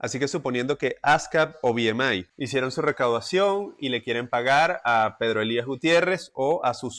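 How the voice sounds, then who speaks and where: A young man calmly explaining music licensing as a lesson, clear and methodical with quick asides. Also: medium pitch. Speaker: music industry educator.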